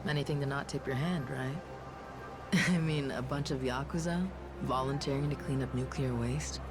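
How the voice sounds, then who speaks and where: A woman's voice: very deep voice, moderate pace, over music. An actress voicing a tough, self-assured young woman bodyguard in a video game cutscene, casual and slangy. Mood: persuasive, sly.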